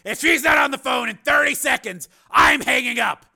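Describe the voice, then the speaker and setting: A man voicing an angry, commanding renegade police lieutenant in an audiobook, with forceful, clipped, demanding delivery. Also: high voice, sing-song, normal speed, gravelly, husky, some chatter behind, voice pushed up, exaggerated voice. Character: defiant male cyborg police lieutenant on the run, commanding, angry.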